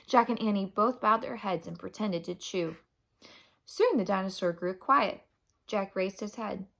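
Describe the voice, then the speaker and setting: A woman's voice: mid-range voice, vocal fry. Woman reading a children's story aloud expressively. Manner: dramatic, animated storytelling with deliberate emphasis. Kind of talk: expressive children's chapter book narration.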